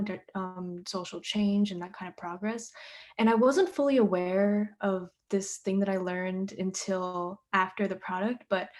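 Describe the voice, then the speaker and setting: A teenage girl, the playwright, talking thoughtfully and softly on a discussion panel, with frequent pauses. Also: medium pitch. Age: teens. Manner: soft, thoughtful, with frequent ums.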